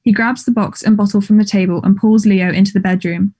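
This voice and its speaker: A woman reading stage directions as scripted narration in a measured, neutral manner. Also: mid-range voice, steady tone, warm tone, breathy edge.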